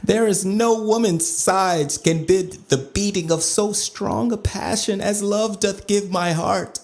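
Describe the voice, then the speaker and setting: An actor playing a lovesick, commanding nobleman in a Shakespearean audio drama, brooding and measured. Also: medium pitch, darkish tone. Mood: brooding, insistent.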